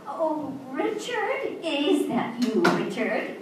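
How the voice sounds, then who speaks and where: A petulant wife played by an actress speaks slowly in a highish, swooping voice. She is demanding and plaintive, in old-time radio style, heard in a very echoey room. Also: very animated, exaggerated voice. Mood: petulant, calling out. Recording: very echoey, distant, noisy stage recording.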